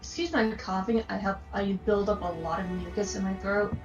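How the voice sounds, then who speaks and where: A woman's voice: mid-range voice, fairly even intonation, a little fast, dull audio, soft background music. A woman co-hosting a group reaction show with brash, sarcastic, sweary banter. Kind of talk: casual banter.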